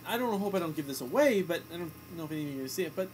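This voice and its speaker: A man's voice: a little high, lively intonation, racing. A man co-hosting a radio show, giving casual movie opinions in a blunt, dismissive way. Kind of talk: casual movie review banter. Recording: faintly noisy amateur recording.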